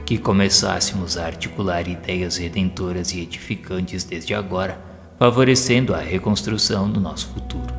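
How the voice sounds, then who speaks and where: A man narrating an audio novel in a solemn, formal, measured, scripted dramatic style. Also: fairly low pitch, vocal fry. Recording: long reverb with faint music behind. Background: music.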